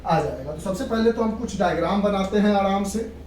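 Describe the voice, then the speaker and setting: A woman's voice: medium pitch, lively intonation, a little fast, a little mellow. A woman teaching physics in an instructive lecture style, repeating points and mixing in English terms. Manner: instructive, repeats and checks understanding. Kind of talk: classroom lecture. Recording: echoey room, distant mic, background noise.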